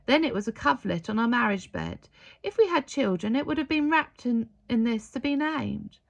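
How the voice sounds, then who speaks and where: Woman acting breathless, wistful old survivor, lifted pitch. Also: animated, gravelly. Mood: sorrowful, remembering. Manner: breathless, halting, heartfelt.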